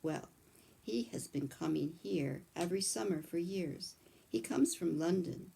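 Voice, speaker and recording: A woman voicing a kindly old male country doctor, chatting calmly in a read-aloud storybook. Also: fairly low pitch, a little slow, creaky, a little hiss or hum, low-quality recording. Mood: fond.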